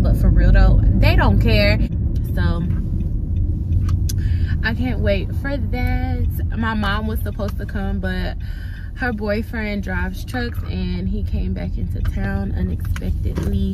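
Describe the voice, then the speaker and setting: A young woman chats candidly to her camera from inside a car, loose and slangy in her phrasing. Her voice sits mid-range for a woman. Loud traffic and engine noise run under everything she says. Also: medium pitch. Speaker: vlogger. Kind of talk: casual vlog talk to camera. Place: in a car outdoors.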